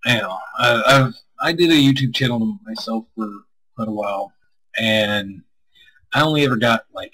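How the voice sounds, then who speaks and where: A man's voice: on the low side, fairly bright tone, husky, rough recording. A man streaming as a co-host, chatting casually with viewers in a rambling, self-deprecating way.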